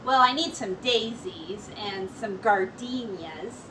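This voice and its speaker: A woman voicing a gentle, earnest female hat-maker in a children's story, with expressive, drawn-out phrases. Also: mid-range voice, recorded from a distance, a little hiss or hum, low-quality recording. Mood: grateful.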